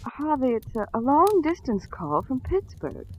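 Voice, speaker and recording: An actress playing an anxious, earnest young wife in a 1940s radio drama. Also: female voice, high voice, big pitch swings, measured pace, muffled recording. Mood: puzzled. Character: anxious young wife protective of her husband, a judge's daughter. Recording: hissy old 1940s radio recording.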